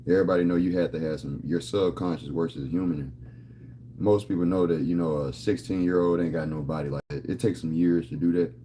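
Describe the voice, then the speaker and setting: A man's voice: low voice, steady tone, fast talker, dark tone, a little hiss or hum. A man in his thirties talking casually to camera, boastful and rambling, full of street slang. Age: 30s. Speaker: vlogger.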